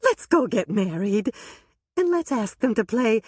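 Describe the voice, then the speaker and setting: A woman voicing an excitable, romantic bride who speaks high and gushing. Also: measured pace, husky, dry recording, studio quality. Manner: bright, gushing.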